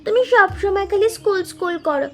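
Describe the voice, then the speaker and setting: A performer voicing a cheeky, clingy little schoolgirl in an animated story. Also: very high-pitched, quick pace, heavily acted. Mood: playful, pouting. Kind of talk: animated family story dialogue.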